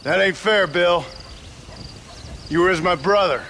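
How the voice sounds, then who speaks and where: A man's voice: high-pitched, unhurried, pushed and bright, slight background noise, exaggerated voice. A man playing a stern, earnest former outlaw in a western game, plain-spoken and formal, with a rural Western American accent. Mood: earnest pleading. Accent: American West, rural. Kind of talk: video game voice acting.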